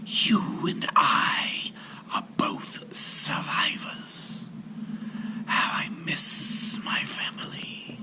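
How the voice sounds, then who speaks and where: Storybook giant serpent: regal, solemn, whispered and breathy, very unhurried. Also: male voice, rough recording. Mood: mournful. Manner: slow, solemn, drawn-out delivery. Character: gigantic ancient male serpent prince, menacing yet gentle. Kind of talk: storybook character voice.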